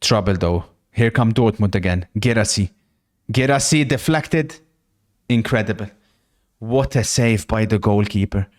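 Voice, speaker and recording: A man with a fairly low voice hosts a live football watch-along. He chats casually, reacts to the play and answers viewer messages. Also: measured pace, darkish tone. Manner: chatty, reacts to the match and reads out viewer messages. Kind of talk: casual live match commentary and chat.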